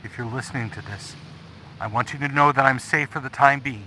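A grave, commanding uncle delivers an urgent secret warning. His medium-pitched voice is forceful and sharp, in radio drama style. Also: fairly quick, a little hiss or hum, poor audio.

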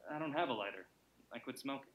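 A man's voice: mid-range voice, lively intonation, fast talker, reverberant, dull audio, natural voice. A man acting a glib, playful, reassuring character named Grant in a theatrical old-time radio-style drama, with wry banter.

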